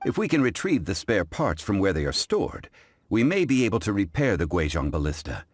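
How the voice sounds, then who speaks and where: A man playing a composed, scholarly gentleman in a video game, speaking formally and courteously in measured phrases. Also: low-pitched, big pitch swings, husky, full character voice. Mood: thoughtful. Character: composed, scholarly, dignified gentleman of ancient wisdom. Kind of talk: English game voice acting. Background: background music.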